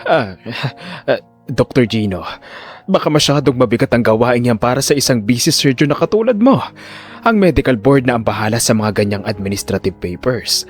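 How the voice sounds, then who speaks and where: An older hospital director, acted by a man in an audio drama, smooth-talking and scheming. He speaks formally with feigned concern and evasive pauses. His pitch moves in lively swings over faint music. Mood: nervous, evasive. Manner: formal, fake-concerned, hesitant emphatic phrasing.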